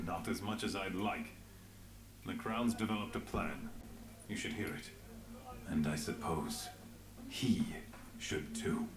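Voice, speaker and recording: An actor playing a grave, world-weary resistance leader in a fantasy game, speaking in measured lines. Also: male voice, low-pitched, echoey room, crowd noise. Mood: grave, bitter. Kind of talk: dramatic fantasy game voice acting.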